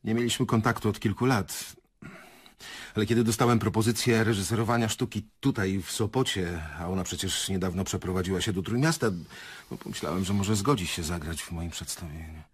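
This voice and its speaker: A rattled theatre director in a radio play, deep raspy voice, halting and guarded. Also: male voice. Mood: guarded, subdued. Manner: hesitant, halting. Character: shaken, evasive male theatre director, halting and dazed. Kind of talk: radio drama acting.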